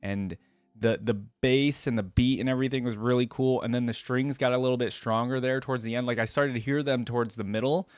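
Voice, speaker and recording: A man's voice: mid-range voice, fairly even intonation, a little fast, smooth, dry recording. A man giving casual, conversational reaction commentary in an informal, enthusiastic way. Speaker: reaction video host. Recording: music playing behind the voice. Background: song being reacted to.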